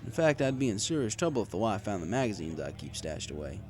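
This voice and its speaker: A man's voice: on the low side, very animated, fast talker, music in the background. A man reading a first-person story in a wry, conversational way. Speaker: story reader. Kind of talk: first-person story narration with acted dialogue.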